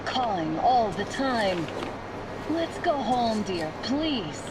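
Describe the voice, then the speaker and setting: A high-pitched young woman soldier, blunt and full of feeling. She is a tough, impatient wife in a dramatic video game, with loud noise behind her. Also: big pitch swings, slow. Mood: weary, exasperated. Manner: blunt, emotive.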